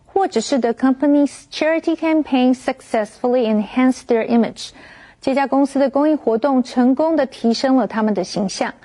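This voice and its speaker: A woman teaching vocabulary in a clear, measured, scripted way. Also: sits high, warm tone.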